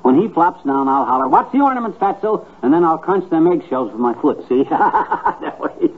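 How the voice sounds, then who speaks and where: Casting: needling, folksy bungler of a husband, highish voice, 1950s radio sitcom, thin sound. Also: male voice, sing-song, normal speed, creaky, breathy edge, exaggerated voice. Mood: mischievous. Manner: folksy, slangy, teasing. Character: boyish, wisecracking, bungling husband who loves needling friends. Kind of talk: 1950s radio situation comedy acting. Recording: tinny, noisy 1950s radio recording.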